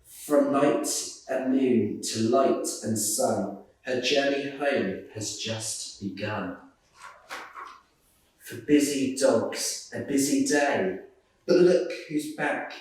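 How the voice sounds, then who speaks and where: A man reading a rhyming children's picture book aloud in a measured, sing-song way. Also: medium pitch, darkish tone, slight background noise. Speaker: storybook reader. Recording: roomy echo, distant microphone.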